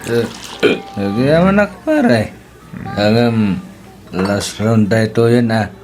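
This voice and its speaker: A man's voice: lowish voice, expressive, slow pace, a little mellow, a little hiss or hum. A man with an Ilocano accent acting a jovial married drinking buddy in a radio drama.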